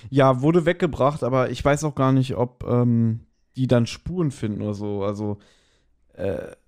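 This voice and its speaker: German guy podcast co-host, medium pitch, casual chat, kinda hesitant, feels his way through sentences. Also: steady tone, moderate pace, a little mellow. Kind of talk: casual chat, discussing an audio drama.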